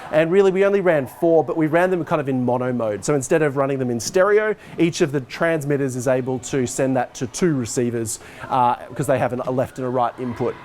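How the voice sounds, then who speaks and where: A man's voice: medium pitch, brisk, a little mellow, slight background noise. A man in his forties giving an informal, explanatory walkthrough as a teacher, talking conversationally as he moves about. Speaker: teacher, head of department giving a technical tour. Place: school hall stage and backstage, indoors.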